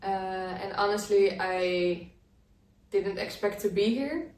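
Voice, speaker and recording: A woman's voice: mid-range voice, steady tone, slow pace, darkish tone. Dutch woman, twenties, hesitant laughing chat, roomy echo. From Netherlands. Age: 20s. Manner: laughing, hesitant, with many "um" and "yeah". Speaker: podcast co-host. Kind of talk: casual chat. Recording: echoey room, mic at a distance.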